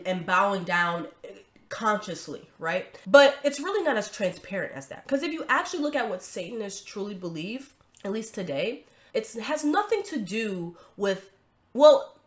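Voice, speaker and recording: A woman's voice: mid-range voice, fairly bright tone. A woman hosting a commentary video, talking casually with a stuffy nose from a cold, emphatic and chatty with frequent tag questions.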